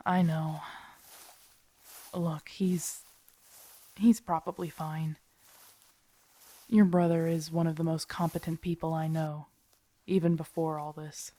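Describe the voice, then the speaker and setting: Woman acting grumpy survivor woman, mellow low voice. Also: level tone, slow pace, long pauses. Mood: reassuring, sober. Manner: sardonic, blunt, conversational. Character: grumpy, protective, tsundere young woman surviving a zombie apocalypse. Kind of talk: intimate listener-directed audio roleplay acting.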